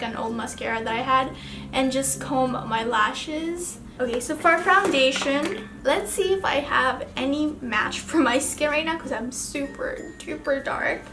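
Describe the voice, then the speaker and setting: Young filipino girl doing a makeup vlog, high voice, echoey room, soft music behind. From Philippines. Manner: rambling, casual, lots of "like" fillers. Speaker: beauty vlogger. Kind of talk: chatty get-ready-with-me makeup vlog.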